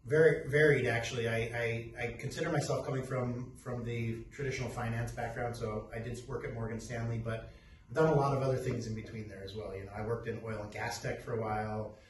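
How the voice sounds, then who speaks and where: A middle-aged man from Peru, a company CEO, introducing his background in a halting, casual way with many restarts. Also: medium pitch, steady tone. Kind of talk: casual self-introduction talk. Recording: echoey room, distant mic, faint noise.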